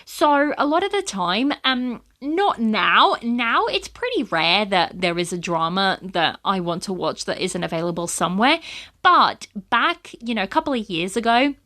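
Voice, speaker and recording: A woman's voice: medium pitch, sing-song, a little slow, bright, forceful tone. A woman hosting a podcast in a chatty, rambling way, her first language Portuguese, a bit sniffly from a cold. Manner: chatty and rambling, frequent fillers. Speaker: podcast host. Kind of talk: casual solo podcast chat.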